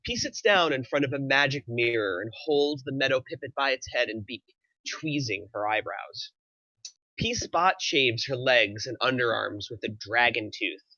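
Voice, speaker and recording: Male narrator, crisp bright tone, middle pitch, screenplay reading, dry slightly lo-fi recording. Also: no echo. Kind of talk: reading screenplay action lines aloud.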